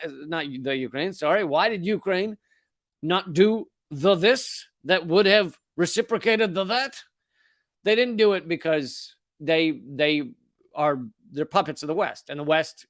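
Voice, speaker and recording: A man's voice: fairly high pitch, fairly bright tone, no room sound, clean studio sound. A man hosting a political comedy show, delivering sardonic, profane commentary with mocking put-on voices.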